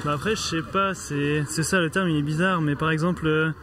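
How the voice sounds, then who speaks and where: A man's voice: on the high side, steady tone, fairly quick, noisy background. A man answering a street interview, thinking aloud as he reasons.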